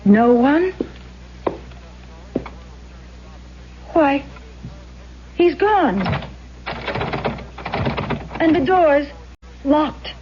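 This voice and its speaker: An actress playing a polite, hesitant, easily charmed aspiring actress in an old-time radio drama, with fluttery, stammering delivery. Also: female voice, high-pitched, animated, extremely slow, background noise, heavily acted. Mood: flustered. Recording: dull old radio recording.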